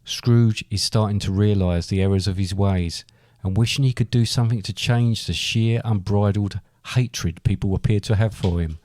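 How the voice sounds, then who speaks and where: A man's voice: lowish voice, moderate pace, hardly any pauses, darkish tone, dry recording. A man reading scripted comic narration as a podcast co-host.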